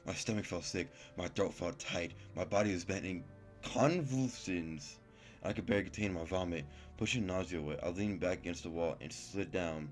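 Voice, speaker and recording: A man's voice: sits low, normal speed, soft background music. A man reading a visual novel aloud as a gaming YouTuber, in a casual manner.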